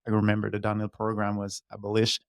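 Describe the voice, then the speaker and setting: A man's voice: fairly low pitch, monotone, quick pace, smooth, no room sound, clean studio sound. A man from Quebec, a native French speaker with a Quebec French accent, casually telling his own story as a podcast guest. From Quebec, Canada. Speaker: podcast guest, former downhill mountain bike racer.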